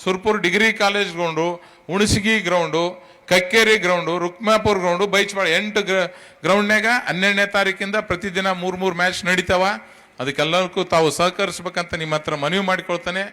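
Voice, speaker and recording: Middle aged guy w north karnataka accent making a speech, high bright voice, talks straight to the people, really emphatic. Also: fairly even intonation, fairly quick. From Karnataka, India. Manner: emphatic, colloquial, addressing listeners directly. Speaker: tournament organiser. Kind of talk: public speech at a launch event.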